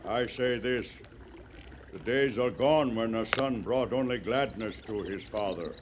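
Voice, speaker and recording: An actor playing a weary, proud Cheyenne band leader and bereaved father in a radio western, speaking solemnly in formal, simple phrases. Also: on the low side. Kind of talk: 1950s radio western acting. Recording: noisy vintage radio western recording.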